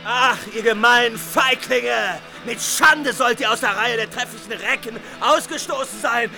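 Piercing, outraged accuser. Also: male voice, extremely high pitch, unhurried, gravelly, sharp tone, faint music behind, exaggerated voice. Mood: outraged, scornful. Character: outraged accuser cursing cowardly warriors. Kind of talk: radio drama acting.

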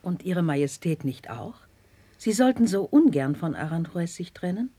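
A woman playing a lively, frivolous, callously cheerful court lady in a 1950s radio drama. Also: on the low side, normal speed, husky, heavily acted. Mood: eager, blithe. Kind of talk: 1950s German classical radio drama acting.

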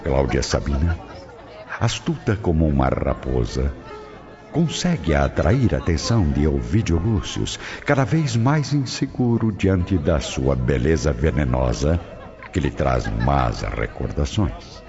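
Very deep Brazilian man narrating dramatically. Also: big pitch swings, a little slow. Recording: dull lo-fi audio under loud music. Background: music.

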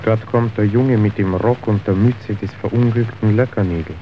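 A man's voice: lowish voice, steady tone, normal speed, natural voice. An actor playing a cynical, worldly businessman in a radio drama, speaking in a pointed, declamatory way. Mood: cool, probing. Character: cynical, worldly businessman, coolly demonstrating human wickedness. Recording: dull, noisy old radio recording.

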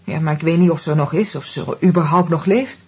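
An actress playing a composed, resigned middle-aged woman, speaking in a measured, subdued way in a Dutch radio drama. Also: low-pitched, mellow, husky, heavily acted. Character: Vera, resigned, composed woman recalling old sorrows.

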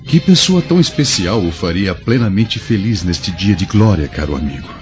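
An actor playing a proud young Roman prince, speaking in a grand, declamatory way in a radio drama. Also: male voice, low voice, lively intonation, moderate pace. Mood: cordial. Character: young Roman prince, proud, cordial to friends, scornful of enemies. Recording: dull lo-fi radio drama with music.

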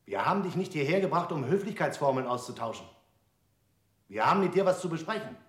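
A man's voice: fairly high pitch, lively intonation, fast, fairly bright tone, roomy sound. An actor playing a stern, impatient male interrogator in a radio play, speaking in curt statements.

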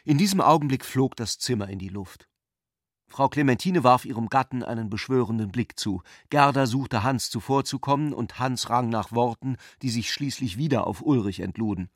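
A man narrating a literary radio drama in an articulate, measured way. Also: mid-range voice, no echo, studio quality.

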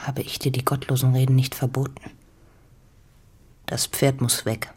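A woman's voice: extremely low pitch, level tone, normal speed, darkish tone, husky. An actress playing a hard, domineering rich farm woman in a literary radio drama, stern, commanding and scornful. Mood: stern, imperious.

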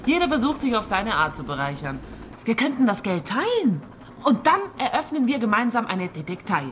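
A woman's voice: fairly high pitch, very animated, normal speed, a little hiss or hum. A woman with a Swiss accent acting a cheeky, scheming young woman in a radio crime comedy, pert and unabashed. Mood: scheming, breezy.

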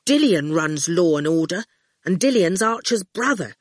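A woman's voice: fairly low pitch, lively intonation, moderate pace, no room sound, studio quality. A woman voicing a serious, determined young boy in a storybook, earnest and insistent. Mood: cautioning.

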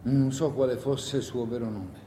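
A man's voice: fairly even intonation, moderate pace, mellow, lightly acted. A male stage actor plays a sombre partisan fighter. His delivery is plain, firm and terse. The recording is echoey, with faint noise behind it. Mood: sober, firm. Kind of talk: solo theatre monologue. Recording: echoey room with noise and rumble.

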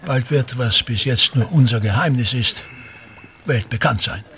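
A man's voice: mid-range voice, a little slow, fairly bright tone. An actor playing a pompous, self-important older theatre man and tyrannical father, declaiming disdainfully and repeating his phrases in a radio drama. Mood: grandiose.

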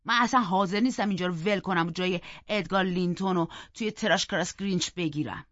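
A woman's voice: lowish voice, fairly bright tone, no echo, dull audio, pitched below the performer's own voice, close to an everyday voice. A woman voicing a hot-tempered, defiant orphan boy telling a story in an audiobook, vehement and emphatic. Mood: bitter, defiant. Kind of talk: dramatic audiobook character narration.

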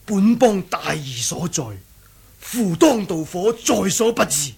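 A man's voice: high voice, expressive, slow, creaky, very noisy, heavily acted. An actor playing an eloquent, deferential male sect elder and schemer in a Cantonese martial-arts radio drama. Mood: solemn. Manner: deferential, theatrical, persuasive.

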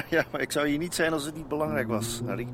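An actor playing a coaxing, sly associate of a crime boss, teasing and insistent, in a naturalistic radio drama. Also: male voice, fairly high pitch, fairly quick, background noise, natural voice.

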